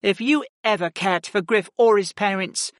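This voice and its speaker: A woman's voice: mid-range voice, normal speed, no room sound, studio quality, voice pushed up, lightly acted. A narrator voicing a commanding, intimidating female ice mage who lays down the law. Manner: forceful, commanding.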